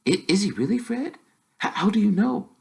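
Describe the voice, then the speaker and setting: A man's voice: high-pitched, very animated, normal speed, vocal fry, pitched above the performer's own voice, exaggerated voice. A man voicing a kindly but firm mother of a big brood in a storybook, fussing and scolding. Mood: gently concerned.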